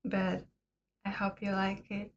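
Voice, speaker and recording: A woman hosting a laid-back livestream chat, her voice raspy and hoarse today, often laughing between remarks. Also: medium pitch, monotone, slow pace, muffled recording. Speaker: livestream host. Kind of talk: casual chat with viewers.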